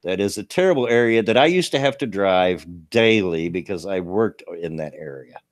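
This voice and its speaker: A man in his late fifties with a low, gravelly voice hosts a casual livestream. He rambles in a folksy way, restarting his sentences as he reads out local news. The sound is close and dry. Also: lively intonation, moderate pace, no echo. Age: 50s. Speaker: show host.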